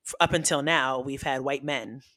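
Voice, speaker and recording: A man in a casual podcast chat, talking in an animated, expressive and frank way, often restarting his sentences. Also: mid-range voice, moderate pace, no echo. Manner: frank and self-teasing. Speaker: guest podcaster.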